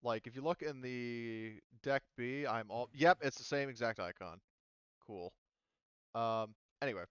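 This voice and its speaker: A man running a tabletop roleplaying game as game master, casual and halting as he thinks aloud. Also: mid-range voice, a bit bright, clear, resonant tone, dry recording, highs cut off.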